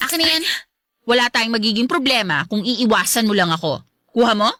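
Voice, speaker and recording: A woman's voice: on the high side, a little fast, pushed and bright, no room sound, clean studio sound. A woman playing a haughty young stepmother in a Filipino radio drama, curt and sarcastic, syrupy when she feigns affection. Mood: haughty, hostile. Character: haughty, hostile young stepmother, sweet only to her husband.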